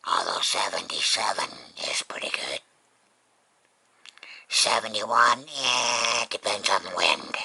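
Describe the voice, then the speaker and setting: Very husky, gravelly low-voiced vlogger, sex unclear. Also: expressive, pushed and bright. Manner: folksy, unhurried, plainspoken. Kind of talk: casual rambling chat to camera.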